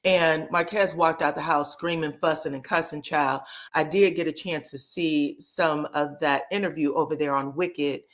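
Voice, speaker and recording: A woman's voice: low-pitched, fairly even intonation, normal speed, few pauses. A woman, chatting casually as she hosts a livestream recap and greets viewers by name. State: slightly scratchy voice. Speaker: reality TV recap livestream host.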